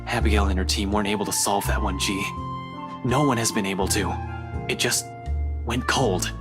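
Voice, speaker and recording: A man as a low-voiced, weary investigator. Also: fairly even intonation, normal speed, close to an everyday voice. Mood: weary, dry. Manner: guarded, dry, sardonic. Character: weary, haunted, guarded male investigator. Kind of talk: video game voice acting. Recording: voice over background music.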